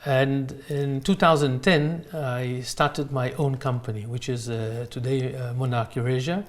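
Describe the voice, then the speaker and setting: A man with a French accent answering interview questions in halting, unscripted non-native English. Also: medium pitch, steady tone. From France. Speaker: interview guest, real estate company owner. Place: in his apartment.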